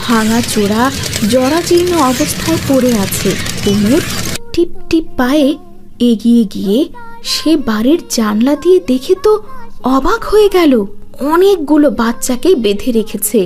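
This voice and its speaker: A woman narrates a cartoon story. Her voice is high and very expressive, delivered dramatically in short phrases. Faint music plays behind her. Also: normal speed.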